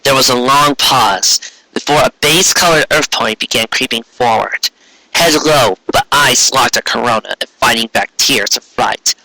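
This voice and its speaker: A man's voice: mid-range voice, normal speed, pushed and bright, no room sound, rough recording. A man reading fan fiction aloud as scripted narration, an amateur who sometimes stumbles and corrects himself.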